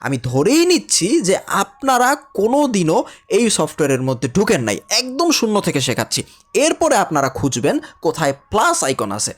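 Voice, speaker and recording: A Bangladeshi man gives a casual tutorial lesson at a quick pace. His pitch moves up and down a lot as he addresses learners directly, chattily repeating his instructions. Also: huge pitch swings. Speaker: animation teacher.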